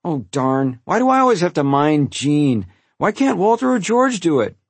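A man's voice: a little high, lively intonation, measured pace, breathy edge, dry recording, pitched above the performer's own voice, exaggerated voice. A man voicing a sulky, put-upon young boy who whines and pouts in an audiobook story. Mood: pouting.

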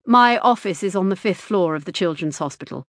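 A woman's voice: fairly low pitch, lively intonation, brisk, a bit bright, no room sound, studio quality. A woman reading the lines of a composed, precise middle-aged male hospital consultant in an audiobook, with a clipped, formal manner.

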